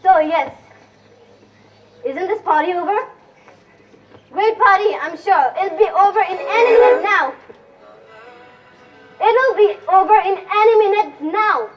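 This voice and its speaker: A woman's voice: very high-pitched, animated, unhurried, pauses often, lo-fi sound, soft background music. A young woman acting a bossy, impatient young woman in a comic school Shakespeare parody, projecting on stage. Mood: demanding, theatrical. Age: young adult. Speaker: student actor in a school play. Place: school stage, recorded from afar. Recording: distant stage recording with background noise.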